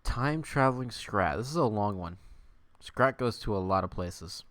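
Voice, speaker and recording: A man's voice: on the low side, animated, moderate pace, fairly bright tone. A man hosting a livestream, mixing mock-dramatic story reading with casual chat in a tongue-in-cheek way. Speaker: livestream host.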